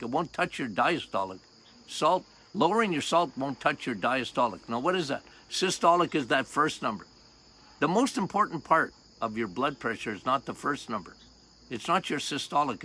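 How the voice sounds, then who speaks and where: An older man with a loud voice, a doctor hosting a health podcast outdoors, talking casually and emphatically, repeating key points and quizzing his listeners. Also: fairly high pitch, a little hiss or hum. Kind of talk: casual health talk monologue.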